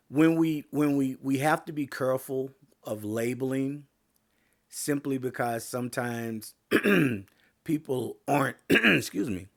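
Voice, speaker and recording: Guy doing a sports podcast, kinda hoarse, lots of uhs and restarts. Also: medium pitch, a bit bright. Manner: rambling, many fillers and restarts. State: voice getting hoarse. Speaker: podcast host. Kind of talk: casual sports talk.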